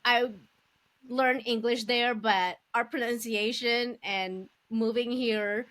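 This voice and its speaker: A woman co-hosts a casual podcast. Her voice sits fairly high. She laughs often and keeps the talk light and encouraging. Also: steady tone, slow, a bit bright. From Philippines. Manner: giggly, encouraging banter. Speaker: podcast co-host.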